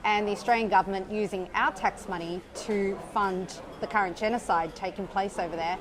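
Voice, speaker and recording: A woman's voice: medium pitch, steady tone, brisk, crowd noise. A woman interviewed as a campaigner, speaking firmly with frustration.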